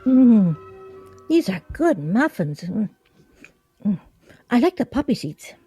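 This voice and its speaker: A woman's voice: mid-range voice, sing-song, slow pace, warm tone, slightly rough, no room sound, faint music behind, heavily acted. A woman acting in a radio play as an airy, loyal, easily distracted friend at a game, breezy and innocent-sounding.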